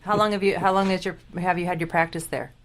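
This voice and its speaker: A woman's voice: lowish voice, steady tone, fast talker, slight background noise. A woman co-hosting a radio interview, chatty and laughing often. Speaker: radio co-host.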